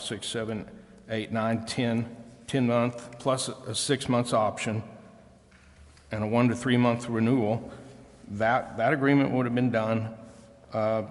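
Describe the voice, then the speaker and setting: A man questioning as a council member at a formal public meeting, with halting, roundabout explanations full of pauses. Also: medium pitch. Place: council chamber. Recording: echoey hall with long reverb.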